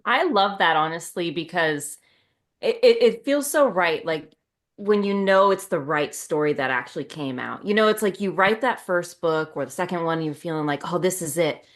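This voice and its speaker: A woman's voice: fairly low pitch, animated, moderate pace. A woman co-hosting a podcast, chatting casually in a rambling, conversational way. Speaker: podcast co-host. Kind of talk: casual chat.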